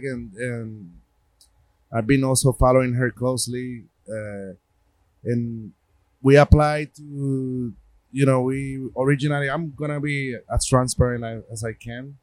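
Puerto Rican man, halting casual storyteller. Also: medium pitch, dry recording, poor audio. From Puerto Rico. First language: Spanish. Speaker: gallerist guest.

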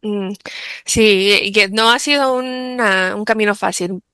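Bright, crisp-voiced bilingual woman guest. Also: medium pitch, unhurried, no room sound. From United States. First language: English. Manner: reflective, many fillers and restarts. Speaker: interview guest, bilingual mother. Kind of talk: interview answers.

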